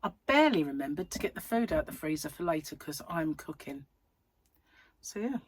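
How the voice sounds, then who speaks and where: A woman with a husky, slightly croaky voice from a cold rambles cheerfully from her chair at home. She is self-mocking and wanders off on tangents. Her voice sits fairly low, and the sound is close and dry. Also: big pitch swings, brisk, no echo. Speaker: crochet channel host. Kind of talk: casual chatty vlog.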